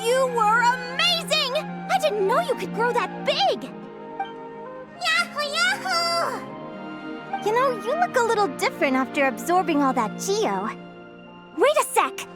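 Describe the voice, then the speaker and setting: A bright-spirited young adventurer girl for a video game. Her voice sits extremely high and swings in a lively way, curious, excitable and earnest. Music plays clearly behind her. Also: a little slow, pauses often, exaggerated voice. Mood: excited, joyful. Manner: excitable, exclaiming, eagerly explaining. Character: cheerful, curious young female adventurer-naturalist, earnest and determined. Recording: music under the voice.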